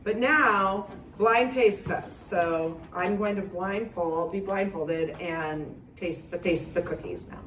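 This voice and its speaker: Slow-talking woman in an echoey room. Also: on the low side, fairly bright tone. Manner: halting, thinking aloud. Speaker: guest cookie judge. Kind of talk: casual spoken judging. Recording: echoey room, distant mic, faint noise.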